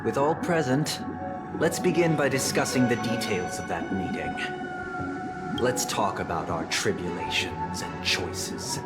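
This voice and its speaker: A low, formal voice of a young game leader, measured and oratorical, full of solemn conviction. Loud music plays underneath. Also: male voice. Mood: solemn, resolute. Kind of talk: dramatic video game dialogue.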